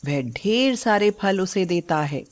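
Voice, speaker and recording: Slow-paced female story narrator. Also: medium pitch, lively intonation.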